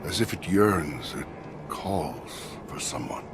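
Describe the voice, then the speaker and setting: A formal samurai guard from a game cutscene. His lowish voice moves freely in pitch, with a wistful, musing streak. Background ambience is clearly audible. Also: sing-song, normal speed, heavy background noise. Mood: wistful, entranced. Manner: formal, musing, breathy murmurs rising to firm speech. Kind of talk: video game cutscene acting.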